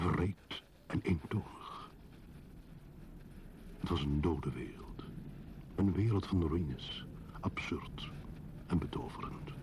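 An actor playing a brooding, solitary man in a poetic radio drama monologue, solemn and often breathy. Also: sits low, darkish tone, slight background noise. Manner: solemn, measured, often breathy near-whisper. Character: brooding solitary man recalling a dead city.

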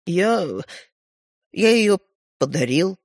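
A man's voice: on the high side, expressive, dry recording, studio quality. Man voicing a hesitant, bewildered schoolboy. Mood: sheepish. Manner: halting, unsure. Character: bewildered, hesitant schoolboy, mild but stubborn.

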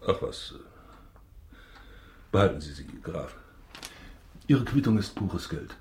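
An actor in a German radio drama playing a pompous, self-pitying banker baron, formal and theatrical. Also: male voice, deep voice, animated, takes it slowly, a little mellow. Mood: uneasy.

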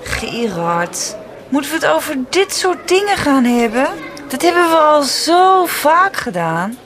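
An actress playing a guarded woman fresh from a breakup, hesitant and at times sharp, in a naturalistic radio drama. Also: high-pitched, very animated, a little slow, exaggerated voice. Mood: uneasy.

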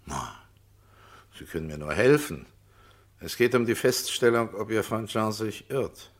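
A man's voice: lowish voice, expressive, measured pace. A man playing a cool, persistent private detective in a German radio crime drama, putting terse, controlled questions with dry scepticism. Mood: probing, dry.

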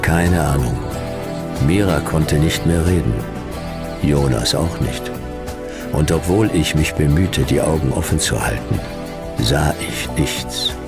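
An actor playing a weary private detective in a noir radio play, laconic and terse with a gravelly voice. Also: male voice, extremely low pitch, takes it slowly, over loud music, full character voice. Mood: terse, grim. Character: weary, hard-boiled male private detective narrator.

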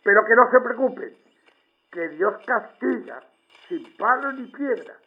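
An actor playing a boastful, scornful fascist general giving a pompous 1930s radio propaganda speech through an old broadcast filter. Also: male voice, high-pitched, expressive, measured pace, narrow, tinny audio. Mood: scornful, gloating. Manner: pompous, taunting.

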